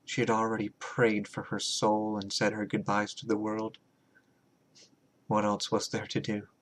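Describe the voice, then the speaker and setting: A man reading his own horror short story as a scripted, dramatic narration, deliberate and measured, with pauses. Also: fairly low pitch, monotone.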